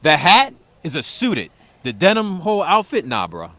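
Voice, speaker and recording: A young man co-hosting a post-game sports show, joking and stammering through quick restarts. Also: on the high side, wildly swooping pitch, sharp tone, a little hiss or hum. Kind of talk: casual post-game chat.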